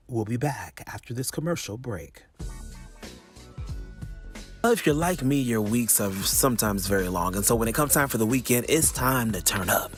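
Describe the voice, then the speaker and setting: A man hosting a podcast in a casual, upbeat way, playful and folksy as he talks straight to listeners and repeats phrases for emphasis. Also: mid-range voice. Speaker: podcast host.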